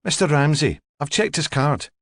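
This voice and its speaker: A man's voice: fairly high pitch, animated, moderate pace, no room sound, studio quality, pitched above the performer's own voice. A man voicing a plain-spoken, chatty woman working as a home help, in an audiobook story. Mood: practical. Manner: chatty, forthright, unbothered.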